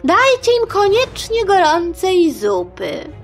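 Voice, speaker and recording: A woman's voice: very high voice, huge pitch swings, slow, faint music behind, pitched above the performer's own voice, exaggerated voice. A woman voicing an unflappable, imperious princess of eighty-five in a storytelling podcast, nonchalant and commanding.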